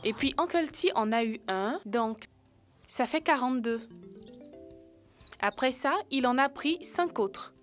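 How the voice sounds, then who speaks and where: A woman's voice: sits high, lively intonation, a little slow, long pauses, soft background music. A woman voicing an earnest, helpful young animal in a French educational cartoon, explaining things step by step.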